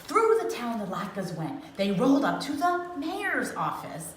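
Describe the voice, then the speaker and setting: A woman reading a children's storybook aloud with dramatic, expressive phrasing, as a storyteller. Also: a little high, a little slow. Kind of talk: animated storybook read-aloud. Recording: echoey room with faint noise.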